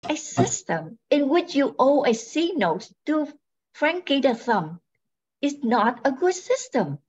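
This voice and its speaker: A sensible, no-nonsense sister in an old-time radio play, acted by a woman. Her voice is very high and swoops with scolding, and the sound is a bit dull. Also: big pitch swings, slow pace, darkish tone, heavily acted. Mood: exasperated. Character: sensible, scolding young farm-raised twin sister. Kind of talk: amateur old-time radio comedy-mystery acting.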